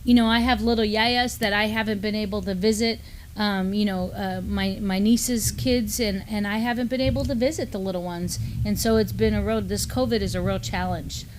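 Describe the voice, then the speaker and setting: A middle-aged woman co-hosts a live news show from a small studio desk. She speaks casually and warmly paced, peppering her talk with "you know" and "um", at an ordinary pitch for a woman. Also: steady tone, moderate pace, a little hiss or hum, poor audio. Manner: easygoing, many "you know" fillers. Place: studio with mottled backdrop, at a desk.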